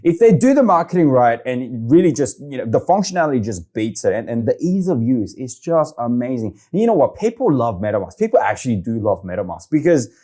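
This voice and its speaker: Excitable Korean man, fast and mellow. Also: medium pitch. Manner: excitable, chatty, says okay often. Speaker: crypto video host. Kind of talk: casual screen-share walkthrough.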